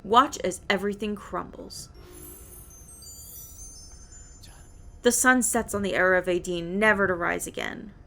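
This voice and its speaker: A woman voicing a menacing, scheming old man in a video game, haughty and deliberate, over music. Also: mid-range voice, fairly even intonation, a bit bright, natural voice. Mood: ominous. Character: menacing, scheming old male villain, a grandfather. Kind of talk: video game villain dialogue.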